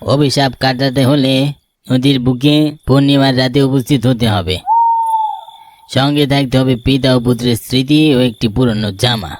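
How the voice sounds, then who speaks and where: A man voicing an all-knowing tantric ascetic in an animated ghost story, with a grave, commanding manner. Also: medium pitch, unhurried, close to an everyday voice. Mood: solemn, knowing.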